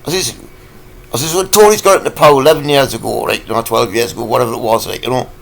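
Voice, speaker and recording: A man, croaky from a cold, ranting in a rambling, halting vlog full of fillers. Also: mid-range voice, steady tone, fairly bright tone. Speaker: vlogger.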